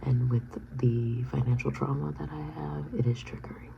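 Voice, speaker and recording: A woman in her thirties whispering a personal vlog, halting and reflective. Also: extremely low pitch, fairly even intonation, vocal fry, dull audio, background noise. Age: 30s. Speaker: vlogger.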